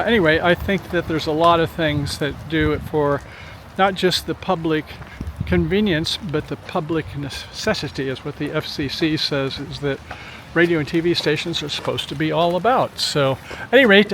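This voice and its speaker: A man's voice: on the high side, moderate pace, a bit bright, slight background noise. A man with an American accent giving casual, rambling, unscripted commentary as a community TV reporter. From Minnesota, United States. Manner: chatty, rambling. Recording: busy crowd chatter behind. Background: crowd at an open house event.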